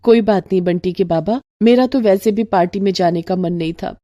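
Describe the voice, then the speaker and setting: विनम्र, सौम्य गरीब माँ की भूमिका में महिला, मधुर धीमी आवाज़. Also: female voice, mid-range voice, a little fast, dark tone, no echo, clean studio sound, natural voice. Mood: gentle, earnest. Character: gentle, devout, humble poor young mother. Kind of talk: Hindi cartoon moral story acting.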